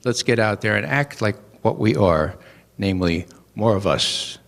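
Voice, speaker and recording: A man's voice: lowish voice, expressive, moderate pace, creaky, heavy background noise. A man exhorting an audience before handing over the stage. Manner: rallying, exhorting.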